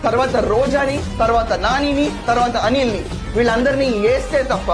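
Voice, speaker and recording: A woman's voice: a little high, steady tone, a little fast, over music. A woman giving scathing political commentary in a mocking, outspoken manner.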